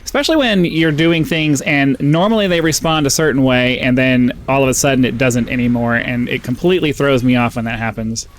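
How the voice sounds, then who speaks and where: A man's voice: medium pitch, fairly quick, few pauses, a little hiss or hum. A man with a somewhat nasal voice, casually rambling through gameplay commentary and getting sidetracked, full of fillers. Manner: rambling, easily distracted, frequent fillers. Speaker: gaming channel host.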